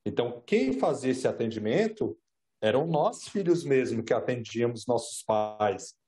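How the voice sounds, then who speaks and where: A man whose first language is Brazilian Sign Language, a sign language interpreter speaking calmly in an interview while signing, with plain storytelling and filler tags. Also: medium pitch, normal speed. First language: Brazilian Sign Language (Libras).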